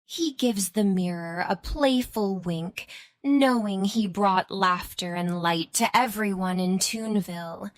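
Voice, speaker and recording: Slow-paced woman narrating a storybook. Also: mid-range voice, dry recording. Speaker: narrator of a children's bedtime story.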